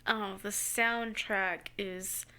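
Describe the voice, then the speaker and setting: A woman co-hosting a casual anime podcast, supportive and agreeable, quick to laugh along. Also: medium pitch, fairly even intonation, pushed and bright, clear, resonant tone. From bilingual speaker. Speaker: podcast co-host.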